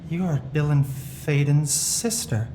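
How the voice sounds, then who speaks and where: Creepy calm possessed young man in a game, low-key bitter, really slow, echoey with noise behind. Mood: eerily calm. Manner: slow, eerily calm, deliberate. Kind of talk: dramatic video game acting. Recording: roomy echo, clear background noise.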